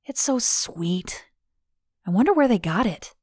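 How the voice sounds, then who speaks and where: A woman's voice: medium pitch, expressive, measured pace, darkish tone, breathy edge, dry recording, studio quality, pitched above the performer's own voice. A woman voicing an emotional, playful young woman of nineteen in an audiobook. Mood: wistful. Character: emotional, playful nineteen-year-old woman, girlish for her age.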